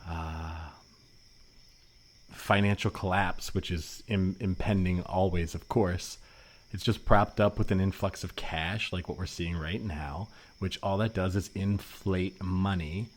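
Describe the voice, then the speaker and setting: A man's voice: deep voice, steady tone, a little slow. A man playing an earnest, wonkish libertarian in improvised comedy, explaining things in a pedantic manner. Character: earnest, wonkish anarcho-capitalist reading economics on park bench. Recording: noisy remote recording.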